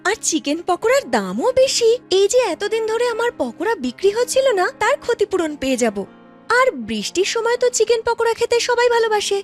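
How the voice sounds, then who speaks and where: A woman voicing a very high-pitched, eager young fritter seller. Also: big pitch swings, brisk. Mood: musing. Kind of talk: cartoon story character voice. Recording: music behind the voice.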